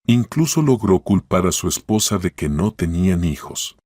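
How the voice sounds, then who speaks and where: A man's voice: sits low, very animated, moderate pace, a little mellow, no echo, studio quality. A man reading a scripted story as a narrator.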